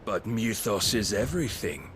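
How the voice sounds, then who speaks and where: Man playing a slow-menace, deep-voiced haughty king. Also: expressive, noisy background, lightly acted. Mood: cold reverence. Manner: imperious, grandiose, archaic formal phrasing. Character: haughty warlord king of a fantasy realm, coldly reverent. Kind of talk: fantasy video game voice acting.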